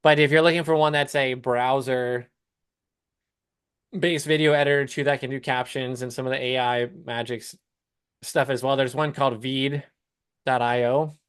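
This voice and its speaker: Clear-voiced man coaching casually. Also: mid-range voice, flat delivery, moderate pace, fairly bright tone, no echo, clean studio sound. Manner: casual, explanatory, walking through examples. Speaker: business coach hosting a client call. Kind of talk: casual coaching talk on a live call.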